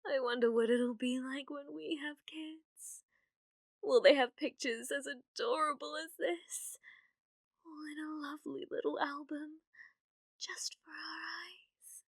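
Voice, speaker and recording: Woman playing young British witch, high-pitched, obsessive, awkward, hesitant bad liar, ASMR roleplay, close dry recording. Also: steady tone, measured pace, no echo. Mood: dreamy, obsessive. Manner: flustered, stammering, hesitant, breathy murmuring asides.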